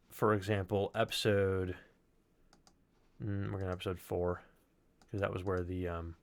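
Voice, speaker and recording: A man giving a casual technical walkthrough as a sound designer on a livestream, thinking aloud haltingly and swearing now and then. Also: lowish voice, dry recording. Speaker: sound designer presenting work.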